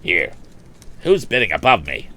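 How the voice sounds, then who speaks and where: A man voicing a squint-eyed young male bully who sounds shrill and pushy. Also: wildly swooping pitch, measured pace, bright, forceful tone, heavily acted.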